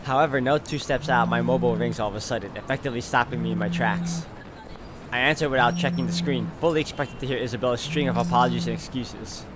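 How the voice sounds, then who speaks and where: A man's voice: mid-range voice, fast. A man reading first-person visual novel narration aloud as he plays through the game.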